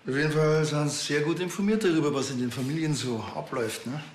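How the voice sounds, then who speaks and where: An actor with a Bavarian accent playing a laid-back, teasing policeman in a TV crime comedy, deadpan and sarcastic. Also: a little high, fast, reverberant, slight background noise, natural voice. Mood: probing.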